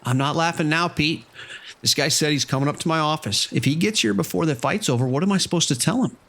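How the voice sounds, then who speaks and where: An actor playing a harried casino manager in an audio drama, anxious and hesitant, with a pleading way of talking. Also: male voice, fairly high pitch, quick pace, a bit bright. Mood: alarmed, pleading. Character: nervous, harried casino manager, male, owing money.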